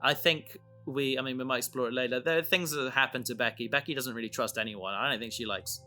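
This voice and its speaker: A man in a casual podcast discussion, explaining his creative work in a halting way, thinking aloud. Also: mid-range voice, pushed and bright, faint music behind. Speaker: audio drama creator.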